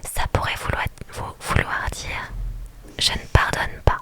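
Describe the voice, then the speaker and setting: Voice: unhurried, sharp tone. A reader whispering the part of a blunt, brash woman friend who speaks her mind. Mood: scornful. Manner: whispered throughout.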